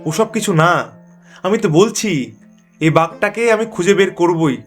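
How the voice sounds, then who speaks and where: Man acting a high-voiced, troubled village fisherman. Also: a little slow, faint music behind. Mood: argumentative. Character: troubled village fisherman, by turns gloomy and defiant. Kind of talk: animated cartoon dialogue.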